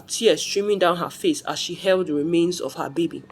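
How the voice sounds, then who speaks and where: A woman's voice: fairly low pitch, normal speed, husky. A woman reading a scripted folktale as a storyteller.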